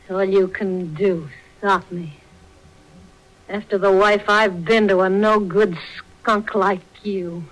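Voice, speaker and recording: An actress playing a brassy, scheming gangster's wife in a 1940s radio crime drama, with an American accent and slangy, sarcastic speech. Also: female voice, mid-range voice, steady tone, slow, natural voice, poor audio. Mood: defiant, sarcastic. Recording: vintage 1940s radio broadcast.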